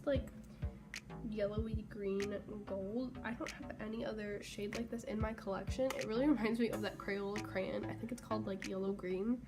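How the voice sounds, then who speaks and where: A young woman talks through a makeup tutorial in a chatty, casual way, sliding now and then into soft half-whispers. Her voice sits a little high and sounds congested from a cold. Clear background music plays underneath her. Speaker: beauty vlogger.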